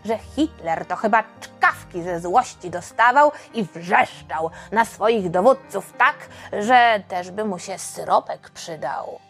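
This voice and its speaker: A woman host narrating a history story for children in a colloquial, expressive way. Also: on the high side. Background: background music.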